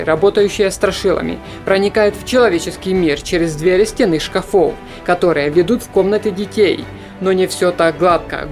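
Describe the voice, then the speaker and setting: A man reading a scripted voice-over about animated films in an even, informative manner. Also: high-pitched. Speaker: film review narrator. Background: soft background music.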